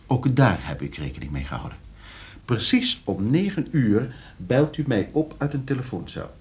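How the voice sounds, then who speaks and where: A man acts out a scheming professor in a radio drama. His mid-pitched voice swings widely in melody. He is courteous and exact, with a nervous, slippery edge. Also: sing-song, moderate pace, a little mellow, close to an everyday voice. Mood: coolly instructing. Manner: polite, precise when instructing, stammering when questioned. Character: scheming, flustered professor plotting against his uncle for inheritance. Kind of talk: radio play acting.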